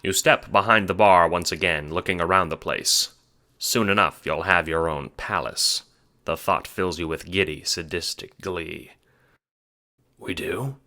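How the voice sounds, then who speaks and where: A man narrates an audio drama in a very low, bright and forceful voice. The delivery is scripted storytelling, addressed to the listener as the main character. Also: moderate pace.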